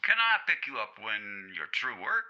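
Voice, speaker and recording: A man's voice: on the low side, wildly swooping pitch, normal speed, muffled recording. A man playing a cocky, sneering gangster with slangy tough-guy talk in a 1950s-style radio crime drama. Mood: falsely friendly menace. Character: cocky, menacing male gangster, crude and sarcastic.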